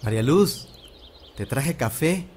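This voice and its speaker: A man's voice: mid-range voice, lively intonation, slow, a little mellow, slight background noise. A young man with a Mexican accent playing a lovestruck rural suitor in an audio drama. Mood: tender, coaxing.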